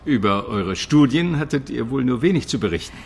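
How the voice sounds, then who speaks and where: An actor playing a skeptical, learned Byzantine gentleman with a dry, questioning manner in a radio drama. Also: mid-range voice, expressive, a little fast, gravelly.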